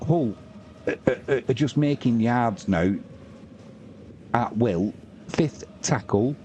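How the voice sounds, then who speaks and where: A man's voice: medium pitch, lively intonation, slow, a little mellow, a little hiss or hum. A man doing informal, matey live rugby league commentary on a stream, mixing play-by-play with chatty asides to viewers. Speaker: sports livestream commentator and host.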